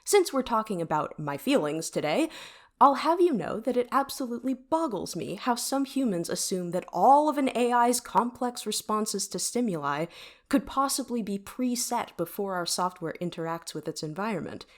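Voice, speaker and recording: A woman's voice: mid-range voice, expressive, normal speed, lightly acted. A woman playing a vain, witty and easily flustered starship computer in an audio drama, talking in a wordy, technical way. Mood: indignant, defensive.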